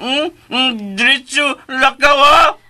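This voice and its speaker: A man playing a childlike, simple-minded young man in a Filipino radio drama, with halting babyish speech full of hums and a Filipino accent. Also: very high voice, fairly even intonation, sharp tone, full character voice. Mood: possessive, stubborn.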